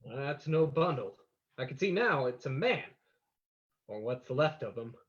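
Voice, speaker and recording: A man playing a composed, shrewd amateur sleuth in an old-time radio drama, commanding and deliberate, on a lo-fi recording. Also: medium pitch, expressive, lightly acted. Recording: dull lo-fi recording.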